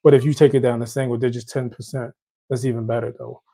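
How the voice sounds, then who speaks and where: A man with a Haitian accent talks through listener questions in an easygoing, rambling way. His voice is gravelly and dark, sitting at a middle pitch, and he often circles back to repeat a phrase. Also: flat delivery, fast talker. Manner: loose, repeats and restarts phrases. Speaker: host. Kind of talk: casual credit advice Q and A.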